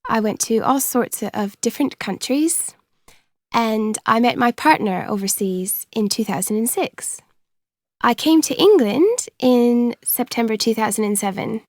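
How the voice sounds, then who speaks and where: Higher-voiced Canadian woman. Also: expressive, unhurried, no room sound, clean studio sound. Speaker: speaker in a course recording. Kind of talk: scripted first-person monologue.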